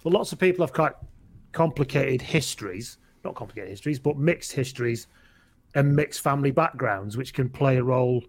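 A man's voice: medium pitch, fairly quick. A man in his forties with a Lancashire accent, hosting a casual rugby podcast chat, rambling and correcting himself with wry asides. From England, raised in Lancashire and Wales. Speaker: podcast host.

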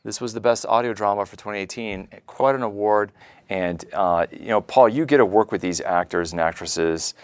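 A male podcast host talks conversationally in a low voice. He stresses his points by repeating words, and the sound is dry and close. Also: normal speed, smooth, no echo. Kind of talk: conversational interview.

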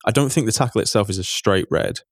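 Low-voiced man hosting football chat. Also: slightly rough, dry recording, clean studio sound. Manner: chatty, self-correcting, quick asides. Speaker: podcast host.

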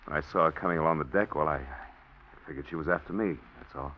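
An actor playing a nervous embezzler confessing to murder, halting and stammering, in an old radio drama. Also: male voice, very deep voice. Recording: dull old radio broadcast.

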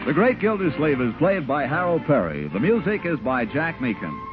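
A man's voice: on the high side, sing-song, normal speed. A man announcing on 1940s radio with a folksy, polished sales delivery. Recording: tinny, husky-sounding 1940s radio broadcast with music.

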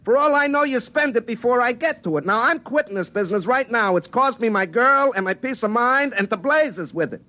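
An actor playing an excitable, folksy small-town phone repairman who tells his own story in a classic radio drama, wry and easily flustered. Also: very high-pitched, brisk, few pauses, heavily acted. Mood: indignant. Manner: folksy, wry narration turning to flustered, stammering pleading. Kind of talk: classic radio drama acting with first-person narration. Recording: vintage radio recording, faint music.

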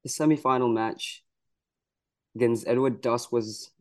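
Earnest young wrestler from Malaysia. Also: male voice, mid-range voice, steady tone, unhurried, darkish tone. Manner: earnest, proud, many "you know" fillers. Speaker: pro wrestler guest. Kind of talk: casual interview answers.

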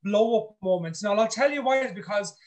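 A man's voice: high-pitched, expressive, a little fast. A man, a reality show contestant, chatting in an interview in an outspoken, blunt way, swearing freely. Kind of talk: casual interview chat.

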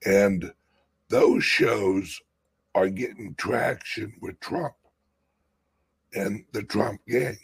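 A man with a raspy voice co-hosting a casual livestream chat, talking slowly and rambling. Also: on the low side, fairly even intonation. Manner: slow, rambling, drawn-out talk. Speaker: co-host.

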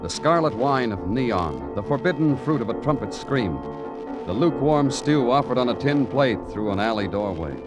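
A man's voice: medium pitch, big pitch swings, normal speed, close to an everyday voice. An actor playing a world-weary, poetic big-city police detective who narrates his case in florid, brooding radio noir style. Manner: brooding, florid, dramatic phrasing. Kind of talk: 1940s-50s radio noir acting and narration. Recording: vintage radio recording with music.

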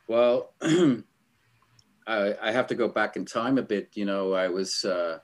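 A man's voice: on the low side, a little slow. A middle-aged man from Switzerland, a guest on a podcast, telling stories in a rambling way with lots of fillers and small laughs. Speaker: guest, album art director. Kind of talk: casual interview storytelling.

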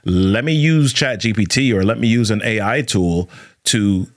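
A man's voice: lowish voice, moderate pace. A man hosting an interview, reacting eagerly and asking follow-up questions. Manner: enthusiastic, affirming interjections. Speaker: podcast host. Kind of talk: conversational interview.

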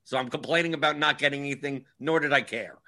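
A man's voice: mid-range voice, fast, creaky, pushed and bright. A man in his forties hosting a casual livestream chat, wry and grumbling, often repeating himself. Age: 40s. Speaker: livestream host.

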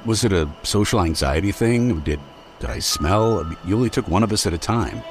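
Deep-voiced, wry sidekick. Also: male voice, lively intonation, brisk, over music. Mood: wounded. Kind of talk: old-time radio drama acting.

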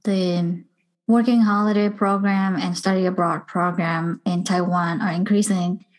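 A woman's voice: on the low side, little pitch movement, measured pace, rough recording. A woman interviewer asking questions in a polite, appreciative way.

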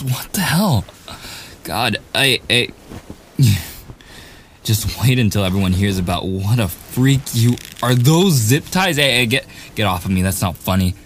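A man's voice: mid-range voice, slight background noise. A man playing a cocky young student bully who sneers and gets flustered, in a boyfriend ASMR audio roleplay. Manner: sarcastic, taunting, hesitant stammering under pressure.

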